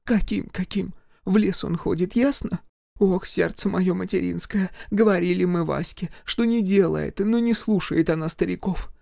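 A man's voice: high voice, normal speed, gravelly, warm tone, no echo, clean studio sound, pitched above the performer's own voice. A man doing a scolding village mother-in-law. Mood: conspiratorial. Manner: scolding, conspiratorial. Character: fussy middle-aged village mother-in-law, scolding and superstitious.

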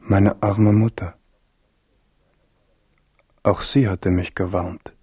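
Young king in a radio play, brooding n bitter, husky voice, very dry room. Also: deep voice, fairly even intonation, slow, mellow, no echo, full character voice. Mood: sorrowful remembering. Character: young king Henri, grieving, bitter, self-reproaching. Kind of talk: German radio drama acting.

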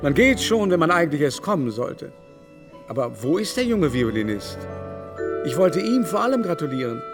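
An actor playing a vain, effusive baron and aesthete in a radio drama, talking in an affected, exclamatory way. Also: male voice, on the high side, very animated, normal speed. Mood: effusive, wistful. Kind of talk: literary radio drama acting. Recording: studio recording with music behind.

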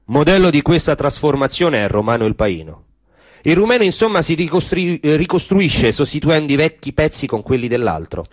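A man's voice: a little high, sing-song, fairly quick, no room sound. A man delivering scripted, theatrical narration of a story.

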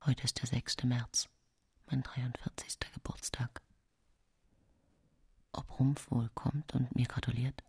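An actress playing an anxious, secretive middle-aged woman poisoner, confiding in a hushed half-whisper in a radio play. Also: extremely low pitch, little pitch movement, takes it slowly, gravelly, long pauses, slightly rough, dry recording, clean studio sound, exaggerated voice. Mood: cold, hushed. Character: anxious, secretive 19th-century woman poisoner confessing her story. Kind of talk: dramatic radio play monologue.

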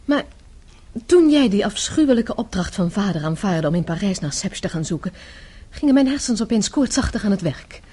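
Mellow, self-possessed young wife. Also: female voice, medium pitch, very animated, moderate pace, slightly rough. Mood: confiding, assured. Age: young adult. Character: self-possessed, tough-minded young wife explaining her undercover scheme. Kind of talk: radio drama acting.

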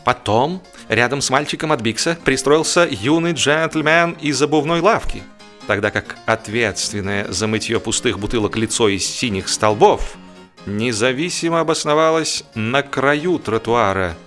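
A man narrating a humorous literary story in an expressive, measured, mock-solemn way. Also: mid-range voice, faint music behind. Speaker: audiobook narrator.

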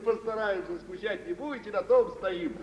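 An actor playing a genial, wealthy merchant who loves revelry, with an unhurried, hearty drawl in a mid-century radio drama. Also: male voice, sits high, close to an everyday voice. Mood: expansive, relishing. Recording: muffled, noisy, echoey old radio recording.

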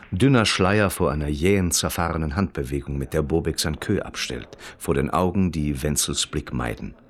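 A man's voice: extremely low pitch, husky, full character voice. A man narrating a scripted radio crime drama in a precise, clipped, literary reporting manner, as a wry observer. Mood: observant, precise.

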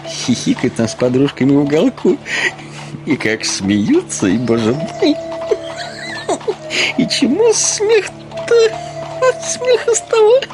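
A man's voice: fairly high pitch, huge pitch swings, slow, pauses often, music in the background, full character voice. An actor playing a fawning, buffoonish middle-aged man in a radio drama, ingratiating, hesitant and given to giggling. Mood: gleeful, maudlin. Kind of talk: theatrical Russian radio drama.

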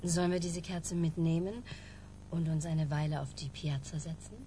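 A woman's voice: sits low, steady tone, normal speed, mellow, slight background noise, natural voice. An actress playing a middle-aged mother in a radio drama, flirtatious and gushing, with coy, theatrical, teasing delivery. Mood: airy, chatty.

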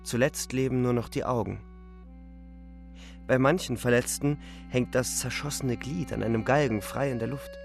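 A man playing a weary, despairing young German soldier, narrating gravely and deliberately in a literary radio play. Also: mid-range voice, faint music behind, natural voice. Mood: grim, bleak. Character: twenty-year-old German soldier, weary, despairing, reflective.

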